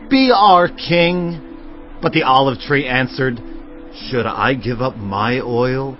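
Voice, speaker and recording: An actor playing an indignant young brother addressing a crowd in a solemn, declamatory way in a dramatized Bible audio production. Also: male voice, mid-range voice, close to an everyday voice. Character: Jotham, indignant youngest son reproaching a crowd with a parable. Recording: dull lo-fi sound, music behind.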